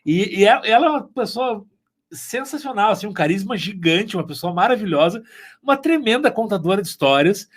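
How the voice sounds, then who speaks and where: Brief: man, high voice, very lively intonation, effusive and playful podcast chat. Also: a little fast. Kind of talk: casual chat.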